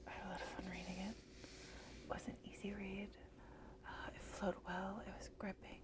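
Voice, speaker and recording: A woman whispering a soft ASMR book review, slightly hoarse and hesitant, with many fillers. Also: medium pitch, level tone, normal speed.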